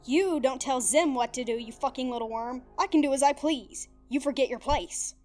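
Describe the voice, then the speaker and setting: Lady putting on a croaky alien dude, haughty and snappy, kinda high, faint music under it. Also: moderate pace, close to an everyday voice. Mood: snarling. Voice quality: croaky, hoarse. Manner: haughty, snarling, refers to himself by name. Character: proud, hot-tempered male alien invader.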